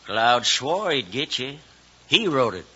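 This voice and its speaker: A man playing a hostile, stubborn outlaw cowhand with an American accent and rough frontier grammar in a radio western. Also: mid-range voice, sing-song, takes it slowly, pushed and bright, heavily acted. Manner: rough, ungrammatical frontier talk. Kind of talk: 1950s radio western acting. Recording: dull old radio recording.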